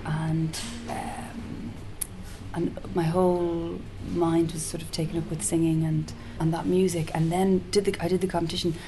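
A woman with a low, soft-spoken voice chats casually as a guest singer-songwriter. She circles back on herself with restarts and little fillers. A clear hiss runs under the recording. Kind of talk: casual interview chat. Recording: noticeable background hiss.

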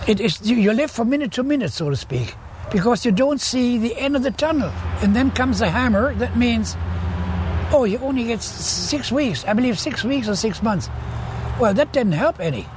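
High-voiced man in his eighties. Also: animated, moderate pace, noisy background. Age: 80s. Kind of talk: documentary testimonial.

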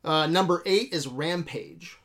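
A man's voice: on the high side, unhurried, fairly bright tone. A man hosting a casual movie discussion podcast, talking informally with slang and free swearing. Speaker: podcast host.

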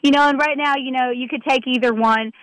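A woman's voice: high-pitched, fairly even intonation, a little fast, fairly bright tone, dry recording, poor audio. A woman on a sports betting panel, rambling through her picks and repeating herself. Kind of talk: casual sports talk.

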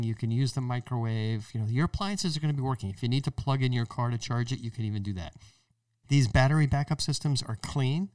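A man's voice: medium pitch, a little mellow, no echo, distant mic. An American man hosting a show, giving explanatory commentary in a colloquial, emphatic way, repeating phrases for stress. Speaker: podcast host and solar business owner.

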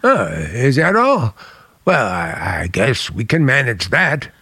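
An actor playing a charming but menacing uncle in a radio play, suave and deliberate with a bitter, contemptuous edge. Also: male voice, mid-range voice, huge pitch swings, sharp tone, husky, no echo, clean studio sound, exaggerated voice. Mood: smooth charm. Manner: suave, deliberate, turning to cold disdain. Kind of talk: dramatic radio play acting.

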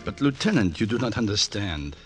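An actor playing an earnest military doctor, formal and insistent, in an old radio drama. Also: male voice, fairly low pitch, very animated, a little fast, slightly rough, faint music behind. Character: earnest German military doctor reasoning with a patient. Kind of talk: 1940s-1950s radio drama acting. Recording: old radio recording with faint noise.

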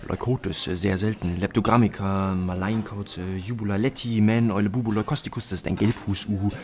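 Audio drama: male actor as eccentric bird scholar, low voice, absorbed, pedantic muttering, over clear background noise. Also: fairly even intonation, a little fast, a little mellow, reverberant, natural voice. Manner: muttering, hesitant, pedantic recitation. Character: eccentric, scholarly male owl expert and investigator, absorbed in detail. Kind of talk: German audio drama acting.

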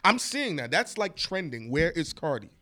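A man hosting a casual interview, excitable and joking as he asks questions. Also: fairly high pitch, moderate pace. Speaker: host.

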